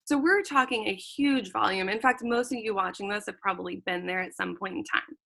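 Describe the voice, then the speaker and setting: Bright upbeat woman hosting a travel show. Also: medium pitch, brisk, creaky. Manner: upbeat, eager. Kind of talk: interview hosting.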